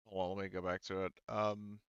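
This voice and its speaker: A low, smooth-voiced man runs a tabletop game as game master. He talks casually and haltingly, thinking aloud, on a dry, slightly dull recording. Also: flat delivery, no echo. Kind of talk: casual tabletop game narration and rules talk.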